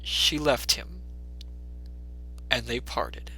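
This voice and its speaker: A man's voice: mid-range voice, expressive, drawn-out words, sharp tone, a little hiss or hum, poor audio. A man reading a classic story aloud as a storyteller, in theatrical, scripted dramatic narration.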